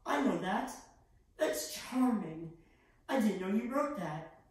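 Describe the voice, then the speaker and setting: A teenage woman playing a mild, earnest male chaplain, speaking gently and politely. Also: medium pitch, lively intonation, slow. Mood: polite interest. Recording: echoey room, distant microphone.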